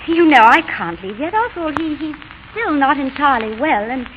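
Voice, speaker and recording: Sweet on someone young english lady, highish voice, tender n teasing, muffled old radio drama. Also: animated, brisk, a little hiss or hum. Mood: hesitant, protective. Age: young adult. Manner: tender, earnest, gently teasing. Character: charming, spirited young Englishwoman in love. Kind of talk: 1930s radio drama acting. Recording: muffled 1930s radio broadcast, faint music.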